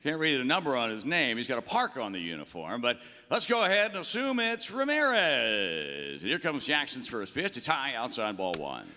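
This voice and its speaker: A man's voice: mid-range voice, very animated, normal speed, few pauses, pushed and bright. A man playing a chipper, folksy veteran baseball play-by-play announcer in a live radio play. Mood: upbeat, folksy. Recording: echoey town hall stage reverb.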